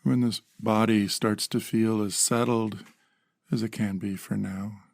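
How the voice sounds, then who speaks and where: A man calmly leading a guided meditation in measured phrases with long pauses. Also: on the low side, creaky, no echo, clean studio sound.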